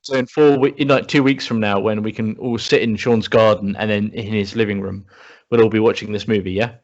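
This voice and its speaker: A man's voice: on the low side, steady tone, a little fast, highs cut off. A man chatting casually with friends as a podcast co-host. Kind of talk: casual group chat about films.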